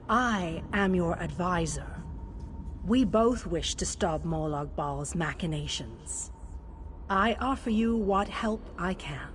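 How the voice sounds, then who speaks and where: Woman acting a slow, solemn mystical advisor. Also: mid-range voice, slight background noise, lightly acted. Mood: grave, resolute. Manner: measured, formal, portentous. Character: solemn female mystical advisor guiding a champion. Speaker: quest-giving advisor character. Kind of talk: fantasy game lore narration and dialogue.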